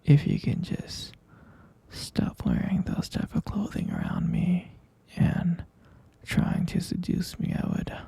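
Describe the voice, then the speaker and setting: Slow, gravelly, whispering mature stepfather played by a man. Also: on the high side, warm tone, dry recording, exaggerated voice. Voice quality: breathy, husky half-whisper. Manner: slow, hesitant, confiding. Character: mature stepfather, hushed, concerned and reproachful. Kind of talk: ASMR roleplay.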